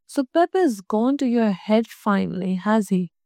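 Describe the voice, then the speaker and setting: A woman's voice: medium pitch, animated, a little slow, darkish tone, dry recording, studio quality. Woman voicing a teasing schoolboy brother. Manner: teasing, mock-serious.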